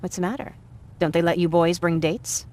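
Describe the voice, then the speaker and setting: An actress as a young female survivor in a war game. Her voice is on the low side, and she talks in a candid, chatty, naturalistic way. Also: level tone, normal speed, a little mellow, close to an everyday voice. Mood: candid, determined. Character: earnest, talkative young woman, war survivor. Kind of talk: naturalistic video game acting.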